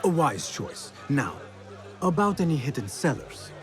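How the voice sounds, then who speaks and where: A man's voice: on the high side, very animated, a little slow. A man playing a young, earnest assassin in a cinematic video game, with music behind him. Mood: polite, probing. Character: young vengeful assassin, stealthy, earnest and polite.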